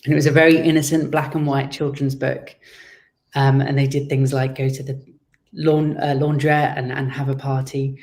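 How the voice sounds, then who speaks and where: A man giving conversational interview answers as a guest author, explaining things in a measured way. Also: mid-range voice, level tone, a little mellow.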